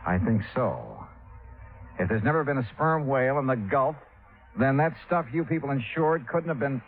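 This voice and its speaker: Wry radio detective, thin vintage recording. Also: male voice, medium pitch, very animated, normal speed, phone-quality sound, soft background music. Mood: wry, puzzled. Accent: American. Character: dogged, wry male insurance investigator. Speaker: lead actor. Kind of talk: 1950s radio drama acting with first-person narration.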